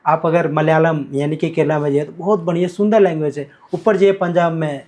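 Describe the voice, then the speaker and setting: A man's voice: fairly high pitch, fairly even intonation, brisk, darkish tone. A man from Bihar, a guest teacher in a podcast conversation, explaining verses emphatically and switching between Hindi and Marathi. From Bihar, India. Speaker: guest teacher, academy cofounder.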